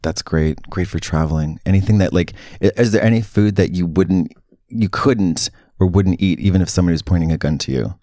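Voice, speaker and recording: Deep-voiced deadpan podcast host. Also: male voice, brisk, no echo, clean studio sound. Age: 30s. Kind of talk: casual podcast chat.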